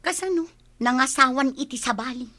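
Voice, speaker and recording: A woman's voice: high voice, fairly quick, sharp tone. A woman playing a frank, resolute young single woman in a radio drama, speaking emphatically and conversationally. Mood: frank, resigned.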